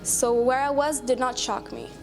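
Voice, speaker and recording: A woman taking part in an experiment, calmly reflecting in an interview. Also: sits high, moderate pace, music in the background. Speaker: experiment participant.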